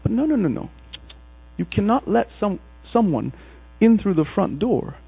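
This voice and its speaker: A man's voice: high-pitched, moderate pace, dry recording. Man from Egypt preaching over a tinny line. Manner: storytelling, animated, acts out quoted characters. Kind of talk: sermon. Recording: husky voice over a tinny, dry, phone-like recording with mains hum.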